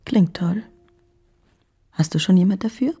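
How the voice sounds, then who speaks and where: A seductive schemer in a radio play. Her low voice is extremely husky and breathy, and she coaxes and gives orders with cool assurance. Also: unhurried, warm tone, heavily acted. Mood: tender, coaxing. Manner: coaxing, bossy. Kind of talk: radio crime drama acting.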